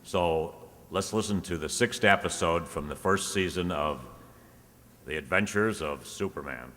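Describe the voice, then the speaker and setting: A man's voice: low-pitched, a little slow, sharp tone, long reverb tail. A man presenting an informative introduction to a show in an explanatory, loosely scripted way.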